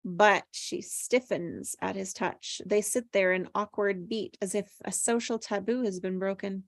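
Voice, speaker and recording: A woman's voice: mid-range voice, steady tone, a little slow, no room sound. Woman reading screenplay directions, dry sound. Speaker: stage-direction reader at a table read. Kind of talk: scripted narration of screen directions.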